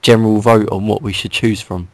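A man's voice: fairly low pitch, steady tone, brisk. A man co-hosting a podcast, chatting casually and often stammering over repeated word starts. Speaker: podcast co-host. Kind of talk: casual unscripted banter.

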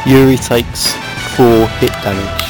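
A man hosting a podcast in improvised comic banter, stammering excitedly, repeating words and often giggling. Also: medium pitch, measured pace, music in the background, low-quality recording. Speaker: podcast host.

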